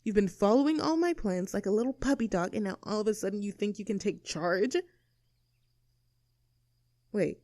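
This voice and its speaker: A woman playing a sarcastic, standoffish young mean girl with a soft side in an audio roleplay, talking in a casual, teasing, blunt way. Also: medium pitch, moderate pace, long pauses, dry recording, lightly acted. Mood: suspicious, urgent. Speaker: voice actor. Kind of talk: scripted audio roleplay, naturalistic one-sided dialogue.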